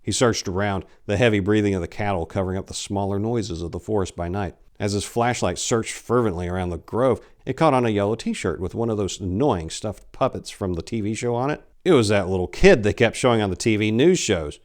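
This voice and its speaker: A man in his forties giving a theatrical, deliberate dramatic reading of a horror story, with emphatic swells and hushed drops. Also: on the low side. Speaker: author reading a short story. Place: indoor room lined with bookshelves. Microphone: lapel mic.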